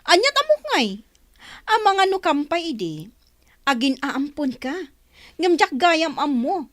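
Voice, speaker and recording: Woman acting harsh mother, high-pitched, very animated intonation, sharp-tongued, resentful, audio drama. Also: a little slow, no room sound, clean studio sound, full character voice. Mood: resentful, suspicious. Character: harsh, resentful mother, suspicious of her adopted son.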